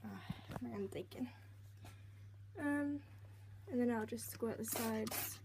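Slightly croaky young woman narrating chores. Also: on the high side, slow. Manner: chatty, narrating each step while working. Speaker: pet keeper vlogger. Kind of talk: casual narrated demonstration. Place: indoors at home by snail tanks. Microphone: handheld phone camera. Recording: noisy home phone recording.

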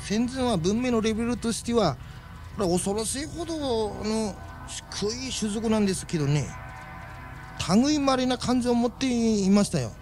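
A man's voice: high voice, animated, moderate pace, darkish tone, faint music behind. An actor playing a folksy, chatty alien man who explains things in a Japanese radio drama. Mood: explanatory, chatty.

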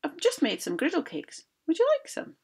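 A woman voicing a clever, bossy giant's wife who talks in a stern, brisk and commanding way. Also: sits high, huge pitch swings, gravelly, darkish tone, pitched above the performer's own voice, heavily acted. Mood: sly.

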